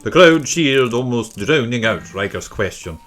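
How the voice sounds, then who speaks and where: A man's voice: medium pitch, animated, a little slow, a bit bright. A man narrating a children's story from a script. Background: faint music.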